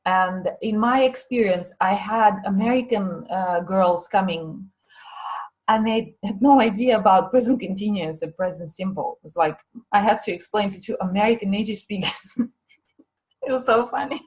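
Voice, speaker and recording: A woman's voice: mid-range voice, moderate pace, a little mellow, low-quality recording. A woman presenting a teaching course in a live online question session, chatty and hesitant, with many fillers and restarts. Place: Limassol.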